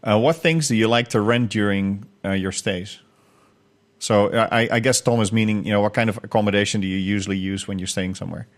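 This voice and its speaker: Podcast host guy, lowish voice, asks stuff kinda rambly w lots of uhs. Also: fairly even intonation, a little fast. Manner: friendly, hesitant questions with many fillers and restarts. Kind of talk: podcast interview.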